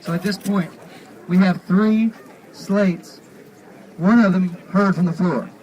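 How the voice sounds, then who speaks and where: Higher-pitched man chairing a formal meeting outdoors. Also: slow, a little mellow, a little hiss or hum, low-quality recording. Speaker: convention chair running a meeting. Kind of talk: formal parliamentary procedure, announcing to an assembly. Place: outdoors at a parking lot gathering.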